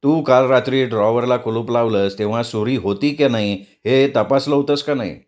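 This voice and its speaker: A man voicing a composed detective who speaks low and measured. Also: darkish tone, close to an everyday voice. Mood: calm. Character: calm male detective, steady and firm.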